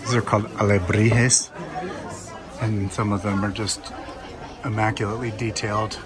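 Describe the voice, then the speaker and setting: Man, low voice, calm travel vlogger, walking-tour narration, market crowd chatter behind. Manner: calm, unhurried, sparse commentary. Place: busy outdoor market.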